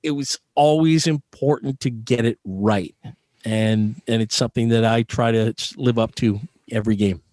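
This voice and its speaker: A man's voice: medium pitch, normal speed, a little mellow, dry recording, studio quality. A Canadian man answering as a guest in a conversational interview, earnest and emphatic, repeating phrases for stress. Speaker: sports arena PA announcer being interviewed.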